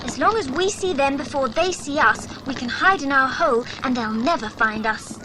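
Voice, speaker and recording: High-voiced, practical schoolgirl. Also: lively intonation, moderate pace, background noise. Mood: reassuring. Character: practical, cautious British schoolgirl adventurer. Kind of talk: audiobook dramatisation for children.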